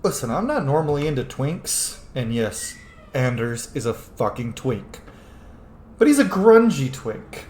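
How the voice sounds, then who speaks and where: A man's voice: medium pitch, measured pace. A man doing casual game commentary as a let's-player, blunt, playful and sweary as he reacts aloud. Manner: animated, blunt, profane, playful. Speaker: gaming streamer, let's-player. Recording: roomy echo, faint background noise.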